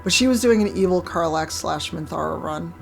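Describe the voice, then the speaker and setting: Hoarse woman streamer chatting casually. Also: on the low side, fairly quick, soft background music. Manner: chatty, wry, reads chat aloud. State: hoarse, croaky voice. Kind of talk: casual live-stream chat while gaming.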